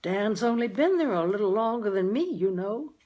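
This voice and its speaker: A gruff older New England father from a storybook, acted out by a woman. He speaks tersely and with dry wit, in a husky voice that moves expressively. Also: mid-range voice, expressive, moderate pace, warm tone, muffled recording, natural voice. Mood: troubled. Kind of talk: audiobook character dialogue.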